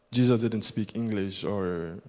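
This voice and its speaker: A man's voice: lowish voice, mellow. A man whose first language is Yoruba, preaching a sermon as a guest preacher in an earnest, rhythmic way that builds with repetition. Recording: long hall reverb.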